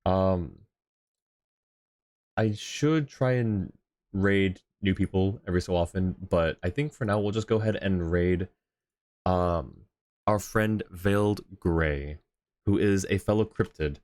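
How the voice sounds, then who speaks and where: A man's voice: deep voice, takes it slowly, a little mellow. A man streaming and chatting casually with viewers, laughing often in an easygoing, rambling way.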